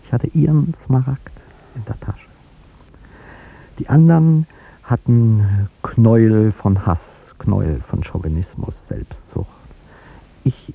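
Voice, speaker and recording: An actor playing an aging, courtly statesman in a 1950s radio drama, speaking in a reflective, halting, wistful way. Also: on the low side, vocal fry, husky, full character voice. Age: older. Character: aging male statesman, wistful, courtly, devoted to younger woman. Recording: dull, noisy 1950s radio recording.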